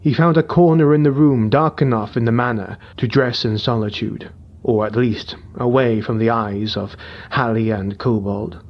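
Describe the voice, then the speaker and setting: A man narrating a dark fantasy audiobook in a measured, somber storytelling voice. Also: mid-range voice, muffled recording.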